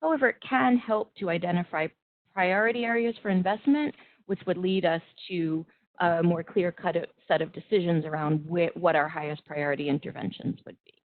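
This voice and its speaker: Measured woman presenting over a tinny call. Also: lowish voice, fairly even intonation, no room sound. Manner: measured, explanatory, occasional restarts. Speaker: webinar presenter and moderator. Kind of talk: webinar presentation. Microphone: video call. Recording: tinny phone-like web call audio.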